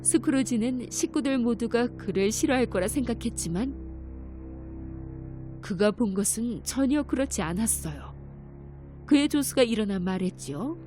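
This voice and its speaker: A woman narrating a children's fairy tale in a gentle, clear storytelling manner. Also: on the high side, lively intonation, moderate pace. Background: music.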